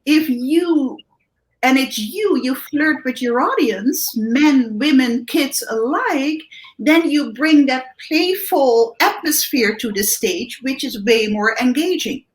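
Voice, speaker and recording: A woman from the Netherlands with a Dutch accent, a guest coach giving animated interview answers with many restarts and tag questions. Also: high-pitched, takes it slowly, low-quality recording. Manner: animated, thinks aloud with many restarts and "right?".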